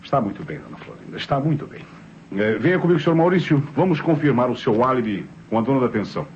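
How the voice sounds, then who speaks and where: Sly Brazilian police inspector played by a man, lively intonation. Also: medium pitch, fast, natural voice. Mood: ironic, insinuating. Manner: ironic, teasing, courteous, repeats himself. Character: sly, ironic male police inspector, falsely polite. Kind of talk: old radio drama acting. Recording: muffled vintage radio recording, faint noise.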